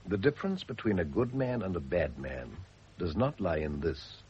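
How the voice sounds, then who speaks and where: An actor playing a serene, bookish man of quiet conviction in a radio drama, earnest and deliberate. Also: low-pitched, sing-song. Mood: earnest, wondering. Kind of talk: 1970s British radio drama acting. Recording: dull, noisy old radio drama recording.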